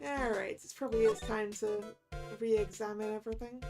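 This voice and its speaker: A woman's voice: a little high, fairly even intonation, moderate pace. A woman giving casual commentary as she streams a playthrough of a video game. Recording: music playing behind the voice. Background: game background music.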